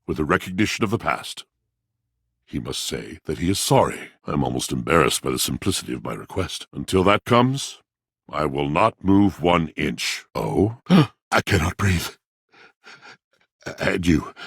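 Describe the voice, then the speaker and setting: A lovelorn, grandiose washing machine brought to life in a video game. The voice is an extremely deep, rough-edged bass. It speaks in florid, poetic, theatrical phrases, recorded completely dry with no room around it. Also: male voice, dry recording, exaggerated voice. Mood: wounded, solemn, then moved, grateful. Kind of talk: video game character acting.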